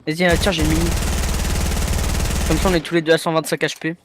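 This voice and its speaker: A man chatting casually during an online game, excitable and swearing, often stammering. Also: fairly high pitch, fast talker, background noise. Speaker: gamer streaming a match. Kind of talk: casual gaming chat.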